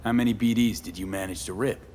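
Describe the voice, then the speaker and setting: An actor as a low-voiced, terse male mercenary. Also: steady tone, quick pace, mellow, slight background noise, lightly acted. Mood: guarded. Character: cool, practical male mercenary in a cyberpunk city. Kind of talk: video game voice acting. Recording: music behind the voice.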